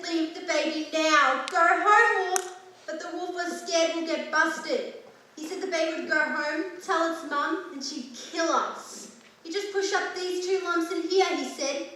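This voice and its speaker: A woman playing a frightened young girl who answers timidly and then rushes through a story, recorded from far off on an echoey stage. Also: very high voice, sharp tone, heavily acted.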